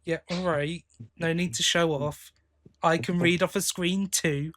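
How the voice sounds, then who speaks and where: A man's voice: fairly high pitch, fairly even intonation, unhurried, fairly bright tone. A man with a British accent co-hosting a podcast, chatting casually in a blokey, teasing way. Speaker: podcast co-host. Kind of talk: casual chat, fan review.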